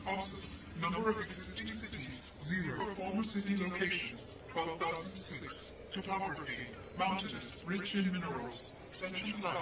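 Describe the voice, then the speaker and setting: An actor playing an impassive ship's computer in an audio drama, giving clipped data readouts through a filter. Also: male voice, sits high, normal speed, hardly any pauses. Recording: echoey, distant, loud background noise.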